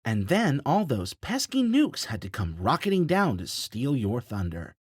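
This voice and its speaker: A man's voice: medium pitch, huge pitch swings, measured pace, breathy edge, no room sound, clean studio sound, pitched above the performer's own voice, exaggerated voice. A man doing a hungover, wisecracking spacer. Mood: wry. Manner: wry, teasing.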